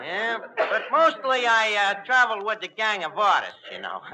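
A man's voice: sits high, wildly swooping pitch, normal speed, pushed and bright, full character voice. A man playing a flirtatious, boastful wise guy in a 1940s radio comedy, with hesitant, mangled patter. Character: bluffing, flirtatious male tavern wise guy mangling French. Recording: noisy old radio recording with clicks.

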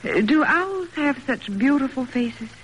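An actress playing a brisk, encouraging woman sculptor and teacher in a classic radio drama, instructive and approving. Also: high voice, expressive. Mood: brisk, appraising. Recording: noisy old radio recording.

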